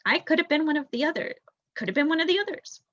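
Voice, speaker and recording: Woman reading as a bright-voiced careful mother mouse. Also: high-pitched, animated, fast, no echo, highs cut off, voice pushed up. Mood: cautious.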